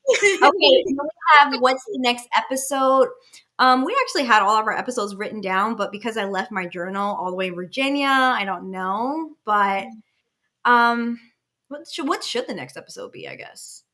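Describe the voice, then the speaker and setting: A woman's voice: a little high, lively intonation, normal speed, sharp tone. A woman in her late twenties hosting a casual live-stream podcast over a video call, chatty and rambling, with restarts and fillers. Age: 20s. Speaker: podcast host.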